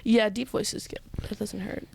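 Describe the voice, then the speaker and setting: A young woman co-hosting a comedy podcast, teasing and firing back quick comebacks. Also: mid-range voice, fairly even intonation, vocal fry, a little hiss or hum. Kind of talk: casual banter.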